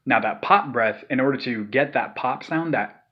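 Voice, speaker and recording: A man's voice: mid-range voice, normal speed, highs cut off. A man explaining a vocal technique in a loose, rambling way, with lots of fillers and sighed demonstrations. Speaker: vocal tutorial maker. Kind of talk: casual spoken tutorial.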